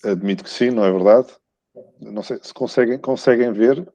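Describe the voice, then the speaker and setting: A man with a European Portuguese accent giving a formal, courteous academic talk over a video call, often halting and repeating words. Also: mid-range voice, mellow, dry recording. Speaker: academic guest speaker giving a lecture. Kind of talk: formal conference talk, semi-scripted. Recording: muffled, dull video-call audio.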